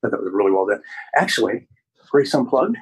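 Guy in his 50s showing folks around, really husky unclear voice, keen, stop and start, on a phone while walking. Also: medium pitch, big pitch swings, poor audio. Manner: enthusiastic, halting while walking and talking. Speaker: podcast host giving a studio tour. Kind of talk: casual chat, walk-through tour. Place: indoors, walking through studio offices and hallways. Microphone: phone held at arm's length on video call.

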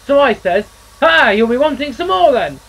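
A man's voice: extremely high pitch, very animated, normal speed, gravelly, a bit bright, pitched above the performer's own voice, full character voice, low-quality recording. A man voicing a cheerful, chatty male steam engine who spins folksy yarns and calls others mate. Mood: boastful.

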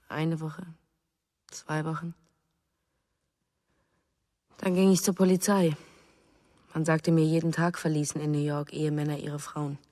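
A grieving young mother in a radio play. Her voice is low and restrained, pensive in short phrases, with a long reverb around it. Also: darkish tone. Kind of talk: German radio drama acting.

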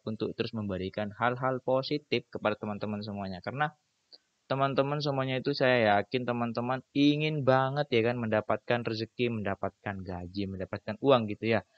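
A man's voice: on the low side, no room sound. A young man chatting casually as a YouTuber over a screen recording, informal and slangy, often repeating his phrases. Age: young adult. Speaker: YouTuber reviewing channel earnings. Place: small room indoors at a computer. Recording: dull, muffled lo-fi sound.

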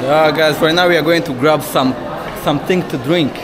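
A young man vlogging at a street festival, loud and playful as he chats with strangers, switching between Mandarin and English. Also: fairly high pitch, normal speed. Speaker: travel vlogger. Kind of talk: street interviews at a party, casual. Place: crowded outdoor street festival. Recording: noisy outdoor party with blaring music. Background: loud music and crowd.

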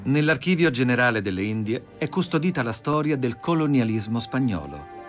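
A male narrator reading a scripted history documentary at a middle pitch, with a lively voice that climbs and falls as he tells the story. Faint music plays underneath. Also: brisk. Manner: expressive, storytelling delivery.

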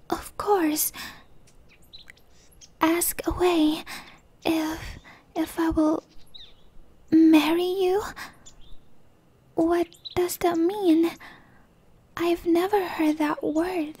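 A woman voicing a shy, innocent young tree dryad in a hesitant, breathy ASMR roleplay whisper. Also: extremely high pitch, a little mellow, no echo, heavily acted. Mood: hesitant, curious. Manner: hesitant, halting, pleading.

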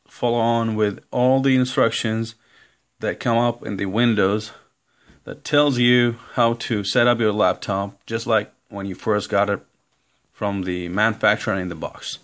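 A man with a fairly low voice talks through an informal computer tutorial. His delivery is very slow and halting, with plenty of vocal fry, as he gives instructions and reads text aloud. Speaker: tutorial presenter. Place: indoor room, filming a laptop screen.

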